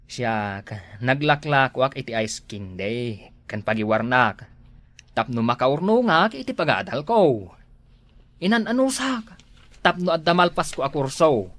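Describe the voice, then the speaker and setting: A woman plays an exclamatory Ilocano villager in a homespun comedy drama. She frets and complains with lively, swooping intonation, recorded close and dry. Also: extremely low pitch, unhurried, dry recording, heavily acted. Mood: resigned, confiding.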